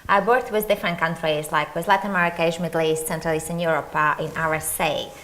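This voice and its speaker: Latvian woman panelist, lowish voice, careful and methodical. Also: steady tone, fairly bright tone. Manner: measured, listing things one by one. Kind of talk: conference panel introduction.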